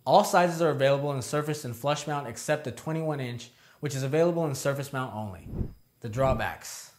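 A young man delivers scripted, informative narration as a video presenter. His pitch is average for a man, and he moves through his points in a structured way, setting off short headings. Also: fairly quick, darkish tone, a little hiss or hum. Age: young adult. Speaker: presenter of product review videos. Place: indoor studio with plain backdrop.

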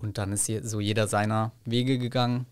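A man's voice: on the low side, level tone, brisk, clear, resonant tone, dry recording, clean studio sound. A young man from Franconia with a light Franconian accent, chatting casually as a podcast guest and laughing often. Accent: light Franconian German. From Middle Franconia, Germany. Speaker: podcast guest, comedian and actor.

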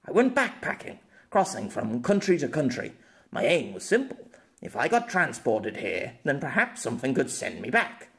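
A man's voice: mid-range voice, huge pitch swings, moderate pace, a bit bright. A man playing a world-weary, kindly male author who talks in a hesitant, rueful, conversational way, in an audiobook. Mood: wistful, musing.

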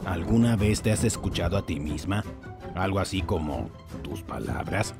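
A man's voice: deep voice, lively intonation, normal speed, gravelly. A man voicing an anxious, fussy flying sidekick who worries aloud and makes dry sarcastic remarks, in a comic fan dub. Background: background music.

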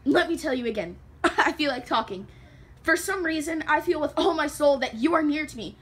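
A woman's voice: on the high side, fairly quick, fairly bright tone, reverberant, natural voice. A woman playing an earnest, emotional young woman in a stage play, with dramatic delivery. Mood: anguished. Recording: noisy distant stage recording.

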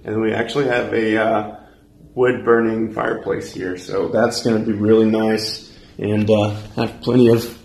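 A man's voice: lowish voice, level tone, moderate pace, gravelly, a little mellow, slight background noise. An American man in his twenties giving a casual, laid-back vlog tour, talking informally into a handheld phone indoors. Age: 20s. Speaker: vlogger. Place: empty apartment rooms indoors. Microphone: handheld phone at arm's length.

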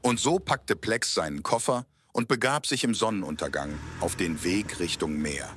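A man's voice: low-pitched, animated, normal speed, a bit bright, slight background noise. A man narrating an audio play in a scripted storytelling style.

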